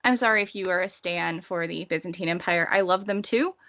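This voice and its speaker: A woman's voice: lowish voice, fairly quick, a bit bright. A woman co-hosting a casual book discussion, explaining things enthusiastically and with tangents. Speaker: podcast co-host.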